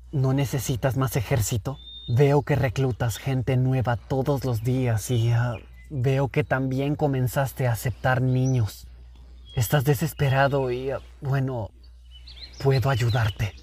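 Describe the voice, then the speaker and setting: Man as a confident, smooth-talking cartoon leader. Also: mid-range voice, steady tone, slow pace, warm tone, natural voice. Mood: persuasive. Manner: casual, persuasive, faintly smug. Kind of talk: animated fan dub acting.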